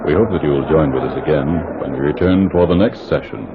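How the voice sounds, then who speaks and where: A man's voice: a bass voice, a little fast, background noise. A man announcing in the scripted style of an old-time radio program. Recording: muffled old radio, crowd behind.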